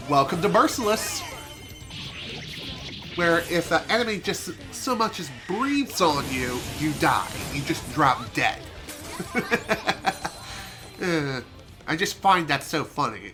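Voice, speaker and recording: A man streaming a video game, chatting casually and rambling with self-amused asides, his voice a little hoarse from allergies. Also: on the high side. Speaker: gaming streamer. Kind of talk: casual let's play commentary. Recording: game music behind voice. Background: game music.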